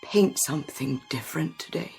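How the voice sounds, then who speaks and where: A woman's voice: low-pitched, moderate pace, vocal fry, a little mellow, slightly rough, full character voice. An actress playing a fragile, anxious older woman who speaks in a tremulous, pleading way, in a 1960s television drama. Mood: pleading, sorrowful. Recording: vintage TV soundtrack with music behind.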